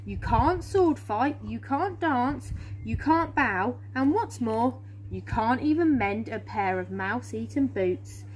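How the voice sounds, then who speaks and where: A woman's voice: on the high side, expressive, slow, poor audio. A woman with an English accent voicing an exasperated, blustering male knight in a storybook, scolding emphatically. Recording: outdoor phone recording with background hum.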